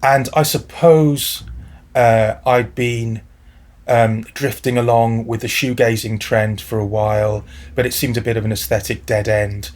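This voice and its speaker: A man's voice: mid-range voice, fairly even intonation, a little slow. A man, talking as a podcast guest in a thoughtful, articulate, wry way. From South Wales. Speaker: guest music journalist. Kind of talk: casual podcast conversation.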